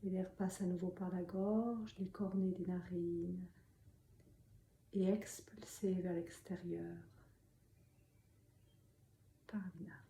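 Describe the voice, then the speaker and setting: A woman meditation teacher guiding a sitting meditation in a calm, soft, breathy voice that often nears a whisper, giving gentle instructions with long pauses. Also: lowish voice. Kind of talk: guided meditation. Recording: roomy echo, faint background noise.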